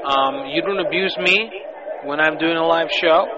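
A man's voice: on the high side, measured pace, crowd noise. A man hosting an internet radio show, ranting in a combative, profane, taunting way after a few beers. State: tipsy from beer. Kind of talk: prank call and live show rant. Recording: lo-fi phone-line radio audio.

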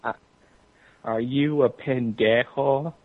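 A man's voice: medium pitch, steady tone, slow, no room sound. A man on a tinny phone-like line, asking casual, provocative prank-call questions. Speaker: prank caller.

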